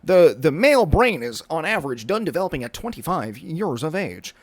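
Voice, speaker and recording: A man's voice: on the high side, very animated, a little fast. A man in his twenties hosting a solo podcast, rambling casually with lots of fillers, restarts and the odd swear word. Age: 20s. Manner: rambling, self-interrupting, many fillers and restarts; casual, swears, says dude and bro. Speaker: podcast host. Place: indoors, with a thunderstorm rolling in outside.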